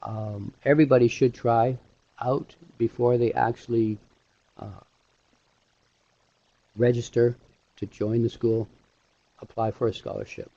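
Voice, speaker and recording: A middle-aged man giving an informal online presentation as an instructor, speaking haltingly with pauses mid-sentence through a headset mic. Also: mid-range voice, flat delivery, mellow, no room sound. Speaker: animation instructor hosting a webinar. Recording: dull, lo-fi webinar audio.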